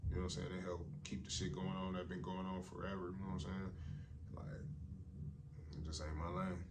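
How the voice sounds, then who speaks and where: Deep-voiced laid-back young rapper. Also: male voice, flat delivery, brisk, distant mic. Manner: laid-back, loose slang, repeats "you know what I'm saying". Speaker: rapper, interview guest. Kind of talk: casual interview chat.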